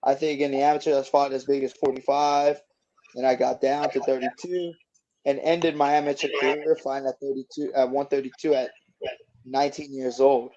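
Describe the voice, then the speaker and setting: A man's voice: mid-range voice, little pitch movement, normal speed, mellow, lo-fi sound. A man in his twenties, a boxer guest, chatting casually in an easygoing, rambling way with lots of fillers. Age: 20s. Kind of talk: casual interview chat.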